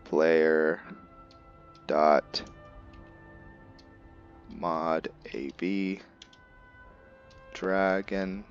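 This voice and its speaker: A man's voice: very deep voice, fairly even intonation, very slow, pauses often, lo-fi sound. A man giving casual game commentary to viewers, rambling as he thinks aloud and explains things. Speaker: gameplay video creator, player. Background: music.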